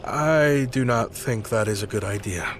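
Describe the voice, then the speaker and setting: A man's voice: on the low side, unhurried, background noise, natural voice. A man playing a grim, righteous soldier captain in a video game, with a formal, stiff way of talking. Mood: reluctant, embarrassed. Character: grim, stern soldier captain, haunted righteous male hero. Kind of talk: dramatic video game dialogue. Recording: loud music under the voice.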